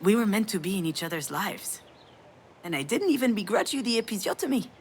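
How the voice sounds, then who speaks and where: A woman playing a sardonic, self-blaming yet tender mother in a dramatic video game, frank and emotionally expressive. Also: medium pitch, fairly quick, breathy edge. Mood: tender, wistful. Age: adult.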